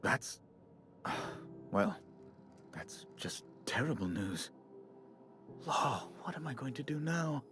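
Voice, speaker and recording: Flustered over-the-top game bureaucrat, flowery and fussy, pitch all over the place. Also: male voice, big pitch swings, slow, long pauses, fairly bright tone. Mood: despairing, apologetic. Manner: theatrical, florid, fussily apologetic. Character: effusive, melodramatic male colonial official, grieving and flustered. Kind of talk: video game character acting.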